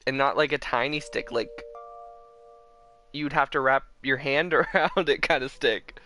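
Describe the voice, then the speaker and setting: A man's voice: mid-range voice, a little slow, bright, forceful tone. A young man chatting casually and in a rambling way as he livestreams a game. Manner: rambling, chuckling. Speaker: live streamer. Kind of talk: casual gameplay stream chat. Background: game music.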